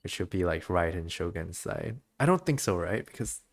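A man's voice: sits low, lively intonation, moderate pace, vocal fry. A man giving casual gameplay commentary as a streamer.

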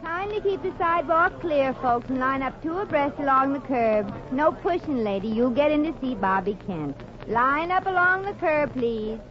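Sassy streetwise dame, highish voice, dry wisecracks, muffled 40s radio comedy. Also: female voice, animated, moderate pace. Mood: brisk, sardonic. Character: wisecracking, streetwise working woman, bossy and wry. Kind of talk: 1940s radio comedy acting. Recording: dull, noisy old radio recording.

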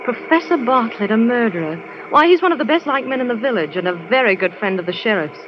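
A woman's voice: a little high, animated, fast talker, close to an everyday voice. An actress playing a defiant, sceptical young woman in a 1940s radio drama, tart and indignant in manner. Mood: mocking. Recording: noisy, dull vintage radio recording.

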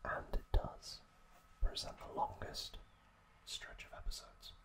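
Male ASMR host. Whispered throughout, very slow and gentle, speaking straight to the listener. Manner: gentle, unhurried, softly spoken direct address to listeners.

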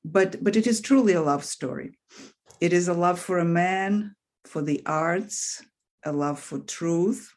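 A woman from Russia, speaking English as a second language with a Russian accent, talking as an author guest in a relaxed interview and often pausing with "um". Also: fairly low pitch. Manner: thoughtful, pauses with frequent "um". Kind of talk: casual interview conversation.

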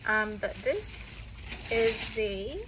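A woman vlogger in a casual voice-over, showing her clothing purchases, talking slowly with many ums and restarts. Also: mid-range voice, animated, background noise. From Australia.

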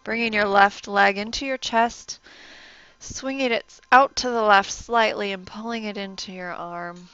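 A soft-spoken young woman, a yoga instructor, giving gentle, encouraging instructions and breathing cues. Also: mid-range voice, fairly even intonation, a little slow, clear, resonant tone, dry recording, highs cut off. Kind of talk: guided yoga class instruction.

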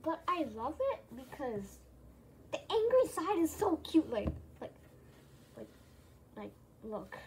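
A woman's voice: high-pitched, big pitch swings, slow, pauses often, a little hiss or hum, poor audio. A young female vlogger chatting casually and hesitantly, often repeating her phrases, recorded in a room at home. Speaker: vlogger showing a plush toy collection. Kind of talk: casual rambling chat.

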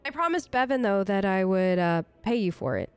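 A woman's voice: mid-range voice, expressive, moderate pace, clear, resonant tone, no room sound, faint music behind. A woman doing casual in-character commentary as she role-plays through a video game, chatty and reassuring, thinking aloud. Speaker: gaming host, role-play let's player. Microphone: close microphone, dry voice-over.

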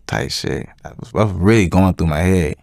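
Young dude being interviewed, kinda deep, dry sound, slangy n repeats himself a lot. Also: male voice, creaky, a little mellow, dry recording. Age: young adult. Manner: slangy, repetitive, halting. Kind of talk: casual interview answers.

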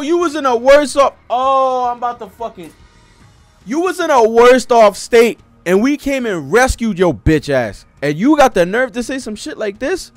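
A man's voice: extremely high pitch, no room sound. A young American man giving casual, profane, slang-filled live commentary as a manga reaction host, speaking expressively into a close desktop microphone. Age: young adult. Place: cluttered room at home. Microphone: desktop microphone close in front.

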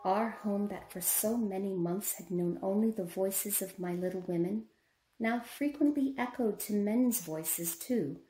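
Woman as a mellow, wistful narrating mother. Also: mid-range voice, a little slow, faint music behind, close to an everyday voice. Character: gentle, wistful mother recalling her daughters. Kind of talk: scripted radio drama narration. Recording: echoey room.

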